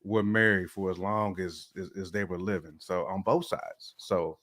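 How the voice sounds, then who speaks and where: A man's voice: low-pitched, fairly even intonation, normal speed, noisy background. A man with a Southern American accent co-hosting a casual talk show, laid-back and slangy. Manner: laid-back, uses slang, says "like" often. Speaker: co-host. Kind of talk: casual podcast chat.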